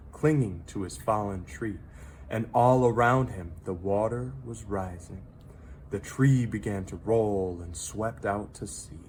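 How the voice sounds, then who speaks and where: A reader narrating a children's story aloud, with dramatic, drawn-out emphasis on key words. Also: low-pitched, a little mellow, a little hiss or hum.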